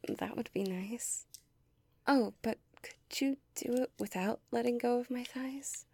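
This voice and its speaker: A woman's voice: on the high side, unhurried, a little mellow, no echo. A young woman speaking in an intimate audio roleplay, whispering breathily and sighing between words.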